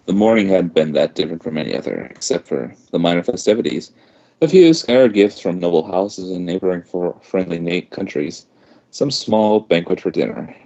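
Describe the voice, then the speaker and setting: A man's voice: low voice, gravelly, mellow. A man reading a first-person story aloud as an amateur, sometimes restarting a line. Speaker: amateur fan fiction reader. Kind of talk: scripted narration read aloud in first person. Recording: dull lo-fi microphone.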